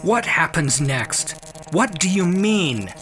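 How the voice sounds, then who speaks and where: A man's voice: a little high, sing-song, slow, fairly bright tone, voice pushed up, heavily acted. A man playing an anxious, curious scientist in a sci-fi audio drama, hesitant and intense. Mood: bewildered. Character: awed, anxious male scientist, curious and stubborn. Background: prominent dramatic music.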